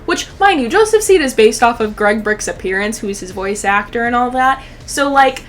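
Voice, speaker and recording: A woman in her twenties giving casual comedic reaction commentary as a channel host, sarcastic, profane and expressive. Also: fairly high pitch, moderate pace.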